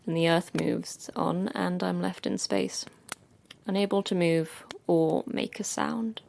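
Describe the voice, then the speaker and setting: Terse hardened female commander, low creaky voice, blunt, curt, sci-fi podcast drama. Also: steady tone, takes it slowly, darkish tone, slight background noise. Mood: haunted. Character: terse, hardened female manager of secret facility. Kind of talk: audio drama acting.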